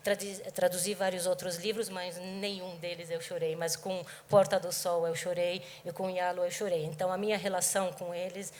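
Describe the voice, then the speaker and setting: Hesitant woman on a panel, low-pitched. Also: little pitch movement, moderate pace, few pauses, a little mellow. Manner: hesitant, many fillers and restarts. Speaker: translator and panel guest. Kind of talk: panel discussion answer. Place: book fair stage.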